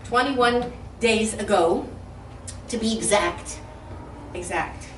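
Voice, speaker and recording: Lively woman speaking in an echoey hall. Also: mid-range voice, slow. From Detroit, Michigan. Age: older. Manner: lively, humorous, speaks from the heart. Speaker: guest speaker. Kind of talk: public speech. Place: event hall with audience. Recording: echoey hall from far off, faint noise.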